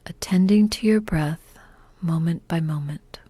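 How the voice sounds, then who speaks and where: A woman guides a meditation at a very slow, unhurried pace. Her voice is mellow, soft and breathy, often close to a whisper. She sounds calm and gentle, recorded close and dry. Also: low voice, no room sound. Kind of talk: guided meditation, scripted narration.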